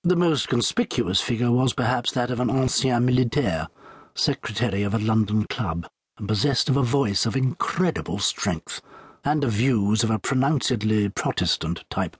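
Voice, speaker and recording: A man narrating a literary story as an audiobook, measured and articulate, with formal phrasing. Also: mid-range voice, lively intonation, breathy edge, dry recording.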